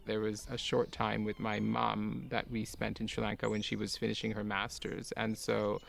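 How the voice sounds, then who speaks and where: Very gravelly, soft-spoken man. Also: on the low side, monotone, moderate pace. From grew up in Kuwait. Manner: soft-spoken and musing, with light laughs. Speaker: garden owner and artist. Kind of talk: reflective personal talk for a garden video.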